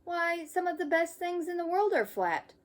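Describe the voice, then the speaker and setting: A woman's voice: very high voice, animated, normal speed, pitched above the performer's own voice. A woman voicing a cheerful, fussing mother in a children's picture book read-aloud, with motherly reassurance. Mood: reassuring.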